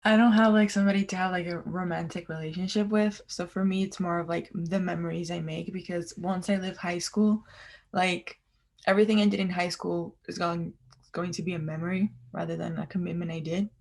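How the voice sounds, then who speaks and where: A teenage girl from Argentina talks casually, filling her sentences with likes. Her voice is lowish for a girl, with a lot of gravelly fry in it. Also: fairly even intonation, brisk, a little mellow. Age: teens. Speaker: student panelist.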